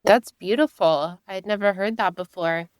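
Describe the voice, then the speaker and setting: Affirming woman host, smooth clear voice. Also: mid-range voice, moderate pace, no room sound. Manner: warm, affirming, admiring. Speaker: podcast host. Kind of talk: conversational interview hosting.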